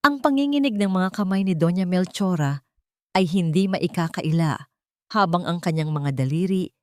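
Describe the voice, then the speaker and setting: A woman narrating a scripted love story in a measured, dramatic way. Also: fairly low pitch, darkish tone, dry recording, studio quality.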